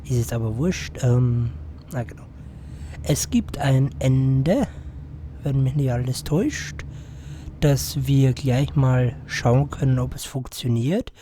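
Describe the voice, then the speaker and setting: Hoarse guy doing a let's play, rambly and mumbly, real close to the mic, mellow voice, faint hiss behind. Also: mid-range voice, slow pace. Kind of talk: casual gameplay commentary. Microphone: close to the microphone.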